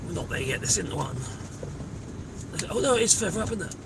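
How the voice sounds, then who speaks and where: High-pitched man, chatty rambling commentary, in a moving car, loud road noise. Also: animated, quick pace, vocal fry, far from the mic. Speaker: vlogger. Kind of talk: casual chat while driving. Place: inside a car on country roads.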